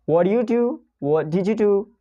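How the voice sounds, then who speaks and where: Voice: mid-range voice, lively intonation, normal speed, dark tone, lo-fi sound. A teacher with a Pakistani accent giving a casual pronunciation lesson in non-native English, repeating example sentences and checking in with "okay?".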